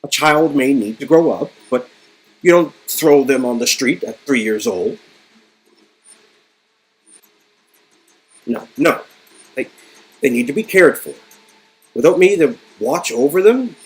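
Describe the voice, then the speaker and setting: Man acting a theatrical, husky mad inventor. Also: mid-range voice, lively intonation, a little slow, pauses often, poor audio. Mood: paternal. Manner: theatrical, stammering, self-important. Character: grandiose eccentric male inventor, smug and absent-minded villain. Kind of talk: amateur dramatic reading of game dialogue.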